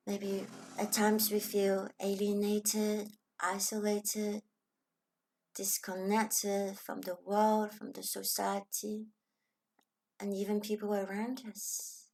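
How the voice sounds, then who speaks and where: A woman from Hong Kong with a Chinese accent, talking gently and thoughtfully as host of her own channel, sometimes dropping into a soft whisper. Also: medium pitch. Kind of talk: reflective personal talk.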